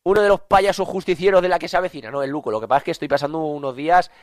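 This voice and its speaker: A man's voice: fairly high pitch, rapid-fire, dry recording, clean studio sound. A man hosting a sports radio talk show while congested with a cough, chatty and repeating himself for emphasis.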